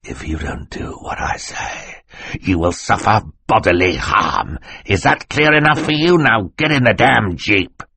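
Man voicing a sneering villain, very low and rough. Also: big pitch swings, unhurried, no room sound, exaggerated voice. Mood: snarling menace. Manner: drawling, mocking, snarling. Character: menacing, sneering adult male villain, cruelly smug. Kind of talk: audiobook character voice.

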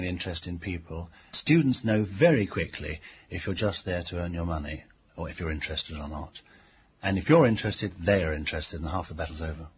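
A middle-aged man giving reflective interview answers as a teacher, speaking haltingly with frequent pauses. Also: on the low side. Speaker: English language teacher being interviewed. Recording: dull lo-fi tape, faint background noise.

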